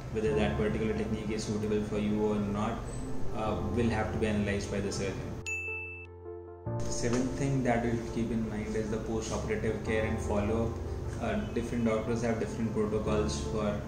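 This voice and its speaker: A man in his thirties with an Indian English accent, a dermatologist giving methodical, instructive advice to camera from his clinic office. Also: lowish voice. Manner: measured, instructive, methodical listing. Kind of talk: scripted explainer to camera. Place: clinic office, seated at desk. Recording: roomy sound with loud music bed.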